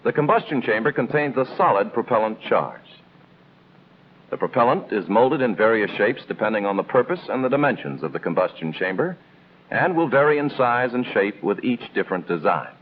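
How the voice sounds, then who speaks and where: An American man reading scripted instructional narration in the style of a 1950s military training film, explaining in a measured, didactic way with clear emphasis. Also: lowish voice, sing-song. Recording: tinny band-limited old film soundtrack, noisy.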